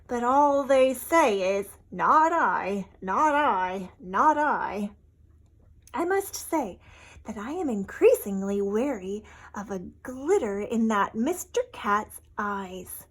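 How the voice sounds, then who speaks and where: A woman voicing a grateful but puzzled, suspicious little hen in an expressive, emphatic storybook letter reading. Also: fairly high pitch.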